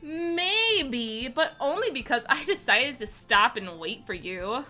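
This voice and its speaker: A woman reads as a sardonic teenage schoolboy narrating an anime game. The voice is high and forceful, grumbling with dramatic flair. Faint music plays behind. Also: expressive, slow pace, exaggerated voice. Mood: exasperated. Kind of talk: live dub of an anime visual novel.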